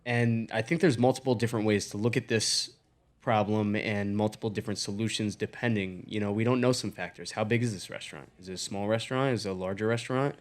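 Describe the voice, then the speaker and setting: A man with a fairly low voice hosts a casual podcast discussion. He talks in an analytical way, framing the problem and raising questions as he reasons through it. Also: fairly even intonation, fast talker. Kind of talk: casual talk-show discussion.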